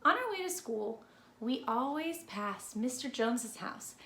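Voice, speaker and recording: High-voiced woman reading to children, echoey room. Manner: expressive, deliberate delivery for young listeners. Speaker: teacher reading a picture book aloud. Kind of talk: children's read-aloud storytelling. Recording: roomy echo with background noise.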